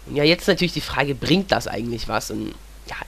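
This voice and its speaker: A man's voice: mid-range voice, lively intonation, brisk, vocal fry, a bit bright, breathy edge. A young man with a cold, talking casually and rambling as he comments on gameplay. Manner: chatty, rambling, fills with "ja". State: has a cold. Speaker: gaming commentator.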